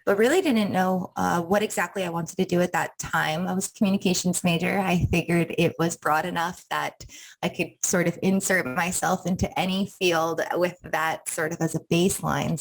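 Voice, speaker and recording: A woman's voice: on the low side, moderate pace, creaky, low-quality recording. A young woman from Rhode Island, a counselor, talking thoughtfully as an interview guest with many ums. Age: young adult. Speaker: counselor and wellness coach.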